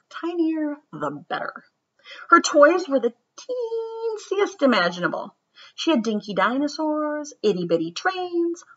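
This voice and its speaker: A woman giving a gentle, reflective introduction, then reading a children's book aloud. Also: high-pitched, slightly rough. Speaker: read-aloud presenter. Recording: slightly muffled recording.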